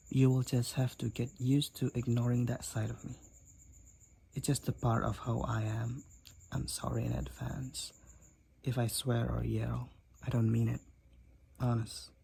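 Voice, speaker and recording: A man's voice: mid-range voice, creaky, dark tone, breathy edge, heavily acted. A man playing a candid, teasing demon college roommate and hot-headed gamer in a roleplay audio drama, talking bluntly and conversationally to the listener.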